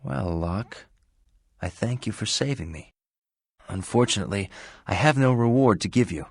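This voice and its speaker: Fantasy game villager, male: everyman, anxious, grateful, husky, dry sound. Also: lowish voice, lively intonation, normal speed, dry recording, full character voice. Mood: grateful, uneasy. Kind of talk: fantasy video game character acting.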